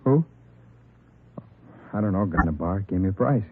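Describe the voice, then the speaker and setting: An actor playing a nervous, evasive drug suspect in a radio crime drama, stammering and repeating questions defensively. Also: male voice, sits low, expressive, normal speed, slight background noise. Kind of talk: old-time radio crime drama acting. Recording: muffled, narrow-band old radio recording.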